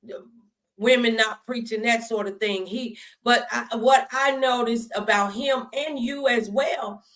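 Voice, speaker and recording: American woman, talk show host, slightly high voice, folksy, emphatic, affirming, conversational faith talk. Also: measured pace, a bit bright.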